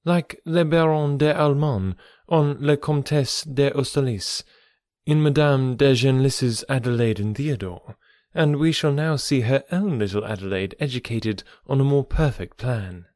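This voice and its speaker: A man's voice: mid-range voice, unhurried, darkish tone, no echo, studio quality, voice pushed up, lightly acted. Emma, a man voicing a witty young woman. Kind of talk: audiobook dialogue reading.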